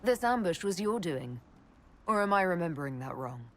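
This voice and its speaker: An actress playing a pragmatic female elf leader in a video game, measured, firm and matter-of-fact. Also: on the low side, sing-song. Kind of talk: video game cutscene acting.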